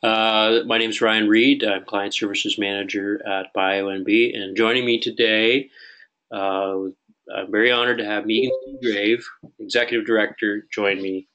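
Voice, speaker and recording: A man with a Canadian accent hosting a webinar as client services manager, relaxed and friendly, with frequent uhs. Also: on the low side, moderate pace, poor audio. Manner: friendly, relaxed, filler-heavy. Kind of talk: webinar introduction.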